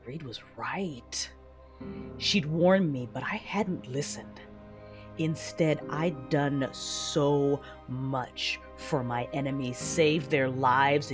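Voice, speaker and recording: An actress playing a vengeful, anguished Sith warrior, narrating her own tale in an intense, brooding, theatrical manner for an audio drama. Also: a bass voice. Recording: loud music behind the voice. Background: loud dramatic music.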